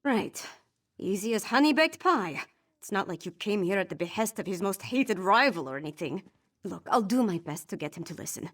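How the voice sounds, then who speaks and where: An actress playing a young princess in a fantasy video game, grieving and unsure but resolute, with an informal, self-deprecating way of talking. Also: female voice, medium pitch, expressive, normal speed, fairly bright tone. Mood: uneasy, determined.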